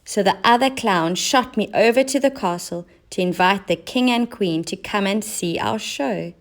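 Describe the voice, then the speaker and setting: A woman's voice: mid-range voice, moderate pace, close to an everyday voice. A woman voicing an emotional, forgetful male clown in a children's storybook, plaintive and exclamatory. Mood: excited, relieved.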